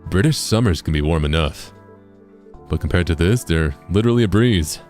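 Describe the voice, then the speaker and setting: A man's voice: sits low, animated, moderate pace, darkish tone, faint music behind, voice pushed down. A man voicing a tired, dry-humoured traveller in a video game, speaking in an understated way. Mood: weary, wry. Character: weary, dry-humoured traveller back from a long trip.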